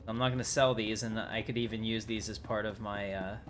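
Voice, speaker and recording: A man's voice: lowish voice, fairly even intonation, a little fast, fairly bright tone. A man giving casual, rambling livestream commentary as he thinks aloud while playing a game. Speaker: livestream host. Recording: clearly noisy background.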